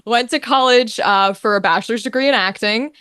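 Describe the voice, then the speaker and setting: A young woman chatting casually as an interview guest, telling her story in an animated, informal way. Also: on the high side, fairly quick, clean tone, dry recording. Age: young adult. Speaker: guest, voice actor.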